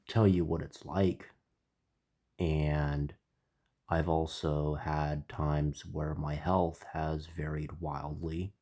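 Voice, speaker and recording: A man hosting his own channel, speaking with a stuffy, slightly nasal voice in a slow, reflective spiritual monologue. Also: rumbling low, darkish tone. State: stuffy, congested. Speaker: channel host.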